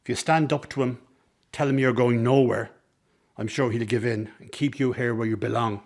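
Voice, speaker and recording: A man's voice: mid-range voice, fairly even intonation, moderate pace, close to an everyday voice. A man acting in a radio drama as a scheming circus man, talking in an ingratiating, persuasive, coaxing way. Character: smooth-talking, scheming circus man, mother's old flame. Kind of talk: dramatic radio play dialogue.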